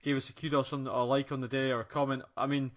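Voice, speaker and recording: A man's voice: medium pitch, little pitch movement, very fast, muffled recording. A man co-hosting a running podcast and interviewing a guest, chatty and rambling in casual chat.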